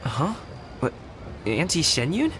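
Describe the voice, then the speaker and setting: A man's voice: on the high side, wildly swooping pitch, slow pace, very noisy, heavily acted. A man playing an earnest, humble young man in a video game, casual and sincere. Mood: impressed, humbled. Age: young adult. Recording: music behind the voice.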